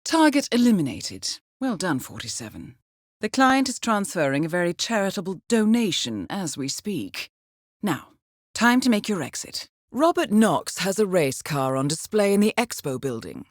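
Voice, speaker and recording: A composed, low-pitched spy handler. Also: female voice, sing-song, dry recording, clean studio sound. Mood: approving. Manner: measured, precise, dryly wry. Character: composed, shrewd female intelligence handler briefing an assassin. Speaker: mission handler. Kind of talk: scripted video game voice acting, mission briefing.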